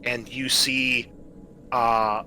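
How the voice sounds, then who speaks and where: A man improvising narration as game master of a tabletop roleplaying game, describing scenes in a conversational, halting way. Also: medium pitch, flat delivery, pushed and bright, a little hiss or hum.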